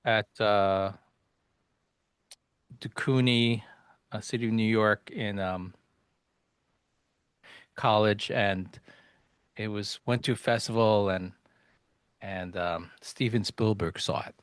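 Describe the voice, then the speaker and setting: A man hosting an interview podcast, asking questions in a hesitant way with many pauses and restarts. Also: lowish voice, fairly even intonation, smooth, no room sound. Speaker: podcast host.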